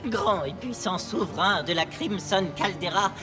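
A man's voice: sits high, measured pace. A man playing a sly, cowardly cartoon clown villain in a theatrical, wheedling manner. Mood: wheedling, nervous. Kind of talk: animated cartoon dub acting. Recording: loud music behind the voice.

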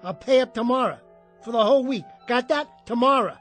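Washed-up jockey, very high husky voice, streetwise, combative, radio play. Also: male voice, a little fast, a bit bright, soft background music. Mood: belligerent. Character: bitter, washed-up small jockey, quick to insult. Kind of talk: radio drama acting.

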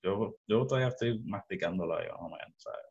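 Puerto rican guy w headset mic, lowish voice, rambles and restarts a lot, kinda muffled lo-fi sound. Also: fast, gravelly, a little mellow, breathy edge. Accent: Puerto Rican Spanish. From Puerto Rico. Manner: rambling, many restarts and fillers. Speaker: podcast host. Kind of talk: casual video-call interview. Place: small room at home. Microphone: headset with studio mic. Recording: dull lo-fi sound.

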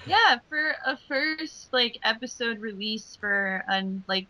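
Bright-voiced woman chatting casually. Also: a little high, animated, slow pace, no room sound, poor audio. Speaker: co-commentator. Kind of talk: casual commentary chat.

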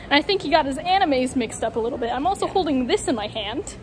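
A young woman chatting casually and excitably to a handheld phone while out as a cosplay vlogger. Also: sits high, fast, noisy background. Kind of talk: casual chat to camera. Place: shopping mall and stores, outdoors at first. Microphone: handheld phone at arm's length.

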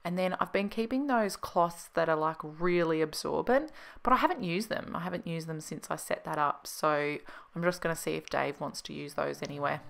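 A woman's voice: deep voice, normal speed, vocal fry. A woman in her thirties with an Australian accent, narrating a casual cleaning vlog in a chatty, explanatory way. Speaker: home organisation vlogger.

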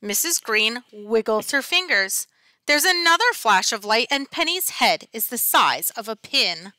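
Woman, slow deliberate pace, bright highish voice, dramatic picture-book narration, dry headset sound. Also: big pitch swings, dry recording. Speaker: teacher reading aloud. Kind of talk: expressive picture-book read-aloud. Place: small classroom, indoors.

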